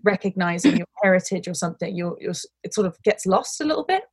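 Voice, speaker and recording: A woman's voice: lowish voice, expressive, quick pace, breathy edge, no room sound. A woman hosting a casual chat, rambling with many fillers and playful quoted asides. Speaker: host.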